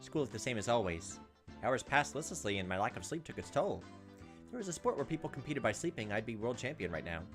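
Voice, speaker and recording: A man's voice: mid-range voice, very animated, fast talker, music in the background. A man doing a casual let's play, reading a visual novel aloud and adding his own informal asides. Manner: informal, reacts to the story as he reads. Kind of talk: casual gameplay narration with asides.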